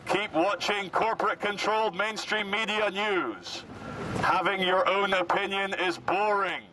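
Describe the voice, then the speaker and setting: A man speaks through a megaphone on an outdoor city street with clear traffic noise around him. His voice is pitched high for a man. He issues commanding, mock-official orders to passers-by, deliberate and deadpan. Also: rough recording. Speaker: street performer activist. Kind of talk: satirical megaphone address to passers-by.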